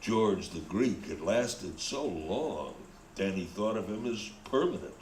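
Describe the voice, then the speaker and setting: A man's voice: low voice, animated, slow, darkish tone. A man reading scripted narration for a radio play. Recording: roomy, with faint background noise.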